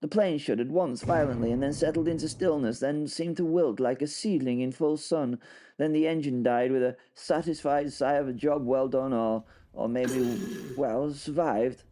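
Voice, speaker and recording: Wry, halting man with mellow voice. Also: mid-range voice, slight background noise. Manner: halting, wry, theatrically exasperated. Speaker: narrator. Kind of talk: first-person comic audio drama narration.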